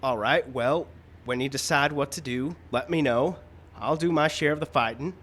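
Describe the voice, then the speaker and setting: A man's voice: medium pitch, moderate pace, fairly bright tone, close to an everyday voice. Man as earnest, anxious frontier storekeeper. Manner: earnest, urgent, folksy. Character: anxious, eager frontier storekeeper readying to fight. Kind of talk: old-time radio western acting. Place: live radio show in a park. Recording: live outdoor stage, background noise.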